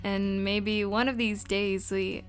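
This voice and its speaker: A woman voicing a gentle, dutiful young witch in an amateur roleplay, sincere and soft. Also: mid-range voice, level tone, slow pace, over music, lightly acted. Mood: tender. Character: young female witch protector, tender and dutiful.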